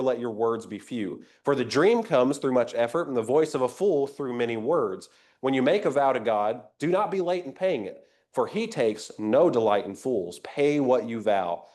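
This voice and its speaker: A man's voice: mid-range voice, darkish tone. A man teaching an interactive Bible class, a little nasal from a cold, conversational and asking the group questions. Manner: conversational, invites comments, rhetorical questions.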